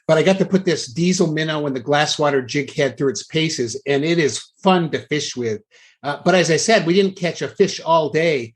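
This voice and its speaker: Male podcast host, slightly high voice, casual anecdotal storytelling. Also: normal speed.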